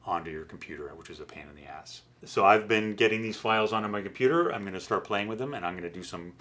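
Low-voiced middle-aged man explaining gear. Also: fast talker, a bit bright. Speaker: company founder presenting his audio gear. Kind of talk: casual technical explainer. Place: small wood-furnished listening room indoors.

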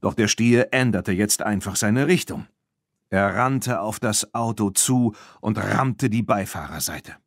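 A man narrating a children's audiobook in an expressive, scripted storytelling style. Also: fairly low pitch, a little slow, dry recording, studio quality.

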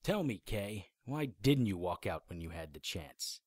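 An actor playing a cranky, defiant private investigator in a radio drama, making threats. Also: male voice, low-pitched, very animated, a little slow, darkish tone, no room sound, clean studio sound, heavily acted. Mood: threatening.

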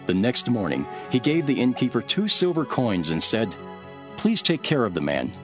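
An actor playing a calm, authoritative male teacher telling parables in an earnest, deliberate scripture drama. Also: medium pitch, over music, close to an everyday voice. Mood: measured storytelling. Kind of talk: dramatised scripture reading, measured storytelling.

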